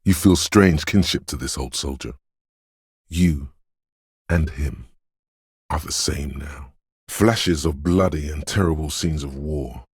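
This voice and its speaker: A man voicing a video game's inner voice of intuition, dreamy, ominous and mysterious, narrating in a portentous, poetic way with a deep, gravelly, husky tone. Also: slow, long pauses, no room sound, studio quality, exaggerated voice. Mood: grave, mournful. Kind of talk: scripted second-person video game narration.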